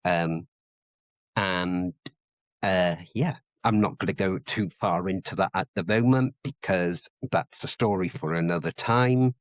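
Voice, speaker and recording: A man's voice: sits low, measured pace, no echo. A Welsh man who speaks Welsh natively but sounds English, chatting nervously on a podcast and hesitating with many ums. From Wales. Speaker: podcast co-host. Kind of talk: casual chat.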